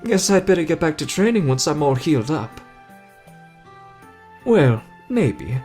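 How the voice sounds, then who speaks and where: Guy doing a excitable young anime hero, high voice, playful and dramatic, asmr roleplay, music under it. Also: measured pace. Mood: surprised, curious. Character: brash excitable young man, wounded hero recovering. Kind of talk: ASMR roleplay acting addressed to listener.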